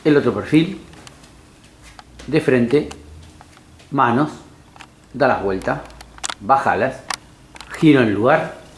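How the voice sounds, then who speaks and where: A man calls out short, commanding directions at an audition. He speaks slowly and evenly, with a roomy echo around him and faint noise behind. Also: mid-range voice. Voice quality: curt, commanding. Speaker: casting director. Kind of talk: giving casting directions. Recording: echoey studio room.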